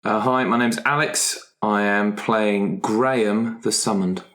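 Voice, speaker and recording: A man's voice: fairly low pitch, fairly even intonation, takes it slowly, fairly bright tone. A man talking casually as a player in a tabletop roleplaying game. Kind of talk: casual chat.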